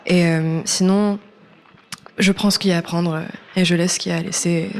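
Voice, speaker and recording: A woman's voice: fairly low pitch, fairly even intonation, fairly quick, gravelly, darkish tone. A woman in her early twenties, a native English speaker, chatting casually in a radio interview, hesitant and informal, often trailing off. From born in New York, French-American. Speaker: musician, singer guest.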